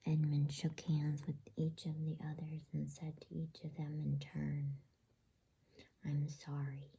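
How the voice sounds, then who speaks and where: Very husky whispering woman, slow. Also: sits low, completely flat delivery. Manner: hushed, unhurried. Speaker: storyteller. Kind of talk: ASMR bedtime story reading.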